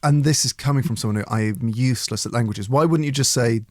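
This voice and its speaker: A man's voice: medium pitch, fast, no room sound. Male radio co-host, teasing, mock-grand presenter style, dry close studio sound. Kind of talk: comic radio banter.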